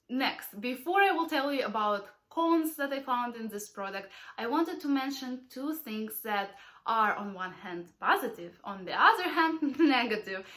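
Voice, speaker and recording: Woman, high voice, young adult, head cold, friendly vlog review. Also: bright, forceful tone. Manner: friendly, direct address to viewers. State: has a cold. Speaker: parenting channel host.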